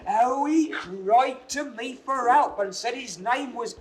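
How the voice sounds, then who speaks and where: An actor playing a young rustic shepherd's son, a simple, excitable clown telling things with naive, wide-eyed amazement. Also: male voice, very high voice, slow pace, a crowd in the background. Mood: excited, aghast. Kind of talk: 1960s classical stage-style audio drama. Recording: slightly noisy vintage 1960s drama recording.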